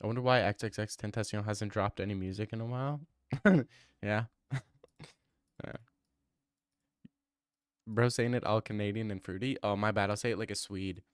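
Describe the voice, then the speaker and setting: A man's voice: on the low side, moderate pace, long pauses, clean tone, no room sound, studio quality. A young man streaming and answering viewer comments in a casual, teasing way, full of mock bravado.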